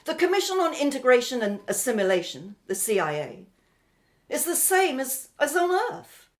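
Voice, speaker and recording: A woman's voice: high-pitched, big pitch swings, moderate pace. A woman playing a bossy, scornful woman in a radio drama, imperious and easily flustered. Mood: urgent, commanding. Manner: imperious, scolding, flustered when questioned. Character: bossy, scornful woman Amina, desperate to be assimilated. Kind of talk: audio drama acting.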